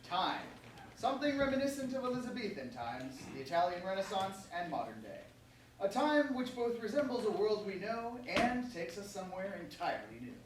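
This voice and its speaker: A man's voice: high-pitched, sing-song, normal speed. A man reading stage directions as scripted narration for a staged play reading. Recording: echoey room, distant mic, faint noise.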